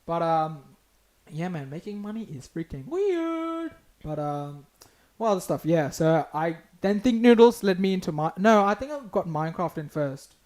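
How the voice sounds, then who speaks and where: A young man with an Australian accent hosting a casual podcast chat, excitable, swearing and laughing often. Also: a little high, normal speed. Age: young adult. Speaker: podcast host.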